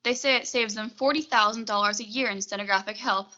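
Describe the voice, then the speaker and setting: A woman's voice: on the high side, steady tone, fast, sharp tone, dull audio. A young woman playing a prim, formal, coolly deferential secretary in a 1940s-style suspense radio play. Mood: calm, placating. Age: young adult.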